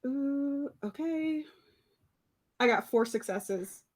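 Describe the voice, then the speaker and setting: A woman's voice: sits high, animated, takes it slowly. A woman takes part in a tabletop roleplay game as a player. She speaks in a medium register with casual, chatty phrasing.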